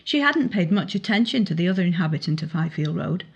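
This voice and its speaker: A woman narrates a young adult novel in a dramatic storytelling style. Her voice sits a little on the low side for a woman and has a husky, unpolished grain, suited to an author reading her own work. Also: animated, fast talker, dull audio.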